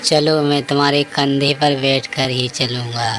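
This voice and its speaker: A woman voicing a gentle, gracious god in a devotional cartoon, speaking in a benevolent, measured manner. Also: rumbling low, steady tone. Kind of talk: Hindi devotional cartoon story acting.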